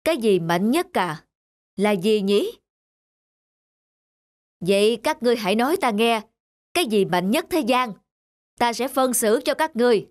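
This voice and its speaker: A woman voicing a commanding, fair-minded king in an animated folktale, speaking deliberately and regally. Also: fairly high pitch. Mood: imperious. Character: king, adult man, imperious yet fair-minded ruler.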